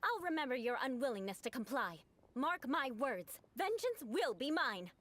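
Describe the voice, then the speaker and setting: A woman's voice: high voice, big pitch swings, normal speed, fairly bright tone, full character voice. A woman voicing a proud, stiffly formal young noblewoman knight in a game, speaking in a grandiose manner. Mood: vengeful. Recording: game audio with music.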